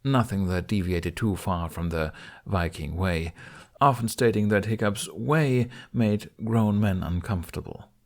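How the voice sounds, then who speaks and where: Low-voiced male audiobook narrator. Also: unhurried. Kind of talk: expressive scripted fiction narration.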